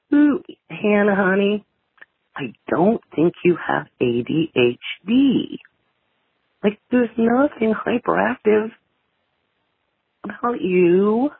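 A male caller rambles casually over a narrow, tinny phone line. His delivery is slow and halting, with drawn-out words and a husky edge. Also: on the high side, no echo. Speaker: caller leaving a message.

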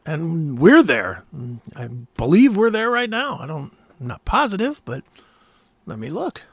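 A man's voice: fairly high pitch, very animated, measured pace, dry recording. A man hosting a talk show in casual chat, with an informal, enthusiastic manner.

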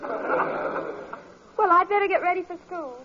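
Eager teen girl, really high voice, 1940s radio comedy, muffled old recording. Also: slow pace, pushed and bright, heavily acted. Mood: bright, polite. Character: bubbly, popular teenage daughter.

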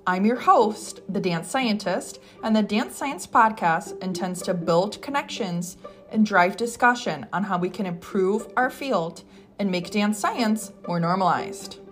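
A woman's voice: medium pitch, animated, measured pace, soft background music. A woman hosting a podcast who reads a scripted welcome and then questions a guest, answering her with brief affirmations. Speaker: podcast host. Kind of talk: podcast interview.

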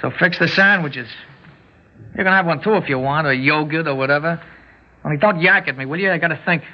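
A male actor plays a young small-time crook with a slightly high voice. He talks in rough, uneducated slang, brusque and cocky. The sound is that of a muffled vintage radio drama. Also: fairly quick, close to an everyday voice. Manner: brusque, slangy tough-guy talk. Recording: dull old radio recording.

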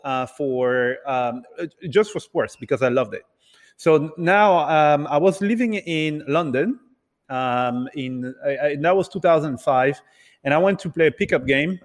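A man who grew up in Italy and other countries, telling his story casually as an interview guest in a halting, hesitant way. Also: fairly high pitch. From Italy and several other countries. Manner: hesitant, halting delivery with repeated words. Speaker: podcast guest, entrepreneur. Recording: reverberant, hall-like room sound.

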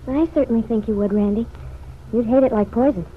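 An actress playing a playful, eager young American wife in an old-time radio serial. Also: female voice, fairly high pitch, brisk, slightly rough. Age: young adult. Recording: muffled, noisy vintage radio recording.

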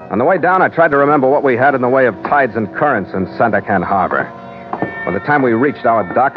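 An actor playing a world-weary ship captain who narrates an old-time radio adventure in a terse, dry, hard-boiled way. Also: male voice, mid-range voice, natural voice. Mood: grim. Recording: dull vintage radio recording with music.

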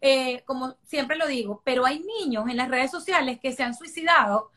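A woman's voice: sits high, normal speed, a bit bright. A Venezuelan woman in her forties, a guest speaking emphatically in a conversational interview. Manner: emphatic, repeats points for stress.

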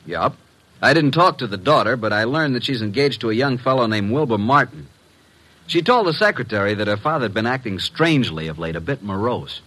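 An actor playing a breezy, wisecracking private detective's sidekick with an American accent, blunt and folksy, in a radio drama. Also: male voice, mid-range voice, big pitch swings, fairly quick, pushed and bright, rough recording. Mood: matter-of-fact. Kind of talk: old-time radio drama acting.

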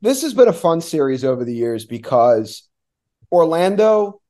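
A man's voice: a little high, big pitch swings, normal speed, mellow. An American man from New Jersey giving casual sports analysis as a guest, often restarting words as he thinks aloud. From New Jersey, United States. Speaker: radio play-by-play announcer, guest.

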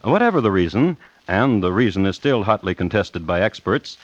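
Deep-voiced old radio storyteller, very lively delivery. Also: male voice, normal speed, no room sound. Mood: mock-solemn. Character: wry formal narrator of an old Scottish tale. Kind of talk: old-time radio drama narration. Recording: muffled old radio recording, music behind.

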